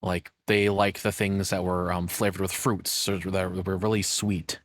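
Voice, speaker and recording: Low-pitched man, casual rambling commentary. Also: level tone, unhurried, dry recording. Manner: rambling, informal. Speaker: reaction video host.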